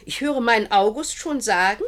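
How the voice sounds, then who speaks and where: An actress in a radio play playing a smug, chatty judge's wife with a self-important, condescending manner. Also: female voice, fairly high pitch, lively intonation, normal speed, a bit bright. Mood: smug, amused.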